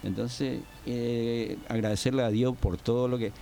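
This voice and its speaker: An older man from Argentina, a guest on a radio show, telling his story in a rambling, halting way with many fillers. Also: mid-range voice, fairly even intonation, vocal fry, mellow, a little hiss or hum. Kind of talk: casual radio interview.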